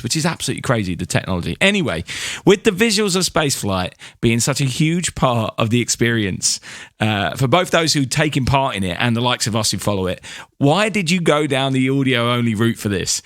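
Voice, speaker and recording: A man's voice: mid-range voice, fairly bright tone, no echo, clean studio sound. A man co-hosting a podcast, chatting casually as he interviews guests.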